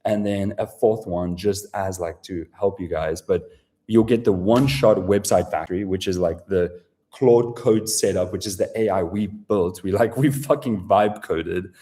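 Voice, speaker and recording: A South African man hosts a live sales webinar, talking in a low, dark, mellow voice. His delivery is casual and salesy, full of swearing, fillers and restarts as he pushes his offer to viewers. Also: unhurried. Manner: casual, profane, filler-heavy sales talk. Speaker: webinar presenter.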